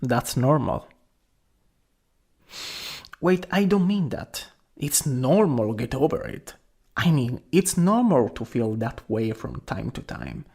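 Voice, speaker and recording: A young man acting a caring, worried best friend in an ASMR roleplay, gentle, hesitant and intimate. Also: medium pitch, animated, slow pace, gravelly, husky, full character voice. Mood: gentle, reassuring.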